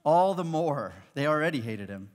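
A man's voice: on the high side, moderate pace. A man in his thirties, a pastor from Malawi, preaching a sermon on stage with a headset mic and acting out the voices in his stories. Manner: animated storytelling preacher, acts out quoted voices. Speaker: high school pastor. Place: large church auditorium stage with audience. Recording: long reverb of a large hall.

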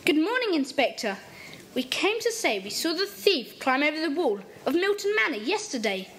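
A decisive boy leader, high and lively. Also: moderate pace. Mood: eager. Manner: decisive, takes charge. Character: confident boy leader of a kids' club.

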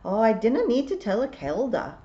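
A prickly, ancient little pixie man from a storybook, acted by a woman. He is proud and scolding, leaning hard on dialect words with big swings of pitch. The sound is slightly dull. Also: very animated, fairly quick, mellow. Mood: stern, offended. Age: nineties. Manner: scolding, dialect-laden, emphatic. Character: proud, prickly ninety-six-year-old male pixie piper.

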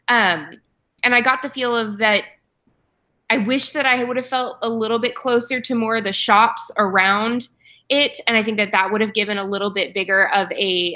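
A young woman talks books over a webcam from her room at home. Her voice is bright and clear, and she speaks in a chatty way, full of ums and self-corrections. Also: mid-range voice. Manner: chatty, frequent ums and restarts. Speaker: book club co-host. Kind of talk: casual video call chat. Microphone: webcam on video call.

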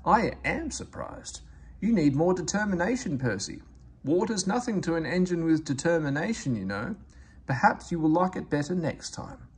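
A man's voice: fairly high pitch, animated, normal speed, slightly rough, voice pushed up. A man voicing a grumpy, snooty railway engine in a children's storybook, with a scornful, sarcastic manner. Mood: mocking.

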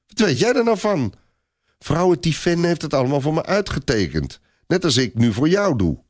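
A man's voice: fairly high pitch, sing-song, moderate pace, pitched above the performer's own voice. A man voicing a stubborn, eager young girl who explains things in a cocksure, instructive way in a storybook reading. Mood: insistent.

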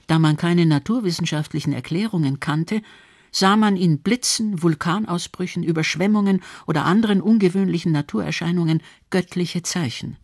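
A woman's voice: fairly low pitch, moderate pace, dry recording, clean studio sound. A woman narrating a scripted radio documentary.

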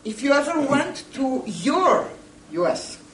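A woman's voice: sits high, big pitch swings, fairly bright tone. An older woman gives a public lecture, speaking emphatically and with rhetorical questions. She is picked up from some distance in an echoey meeting room, with a little background noise. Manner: deliberate, emphatic, rhetorical questions, addresses listeners directly. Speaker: lecturer, museum educator. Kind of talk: public lecture to a seated group. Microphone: camera a few metres away. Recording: roomy, distant camera microphone with noise.

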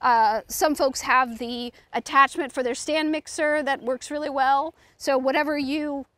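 A woman's voice: high voice, fairly even intonation, unhurried, fairly bright tone, no echo. A middle-aged woman giving an informal cooking demonstration, instructing as she works. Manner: informal, instructive, talking while working. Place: outdoors on a lawn beside a food truck.

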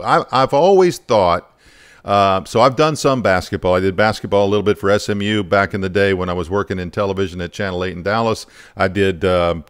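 A man in his fifties with a fairly low voice hosts a sports podcast. He talks conversationally, like one broadcaster chatting with another, close on a studio microphone. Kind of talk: conversational interview. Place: home studio in a furnished den. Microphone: close broadcast mic on a boom arm.

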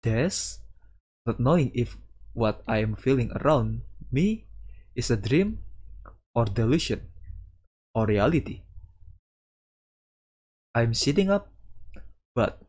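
A man reading a visual novel aloud as a streamer, with casual commentary and halting delivery. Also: medium pitch, animated, a little mellow. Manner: halting delivery, sprinkling in Japanese words. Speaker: VTuber streamer.

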